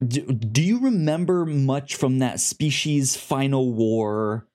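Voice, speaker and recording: A man's voice: medium pitch, lively intonation, slow, a little mellow. A man co-hosting a casual podcast, chatty, often stumbling and restarting his words. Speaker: podcast co-host.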